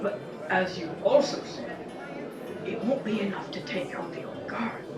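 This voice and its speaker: A woman playing a cynical, ambitious self-made merchant in an audio drama, talking in a wry, quietly confiding way. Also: mid-range voice, measured pace, far from the mic, natural voice. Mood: bitter. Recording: echoey, dull recording with light music.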